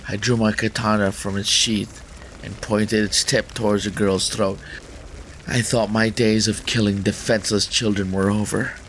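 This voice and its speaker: A man's voice: fairly low pitch, level tone, moderate pace, a bit bright, rough recording. A man hosting a gaming channel, reading a visual novel aloud as dramatic narration, with faint noise in the recording.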